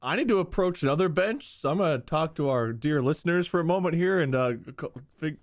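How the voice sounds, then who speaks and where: A man's voice: a little high, animated, fairly quick. A man hosting a casual comedy podcast and judging its game. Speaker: podcast host and game judge.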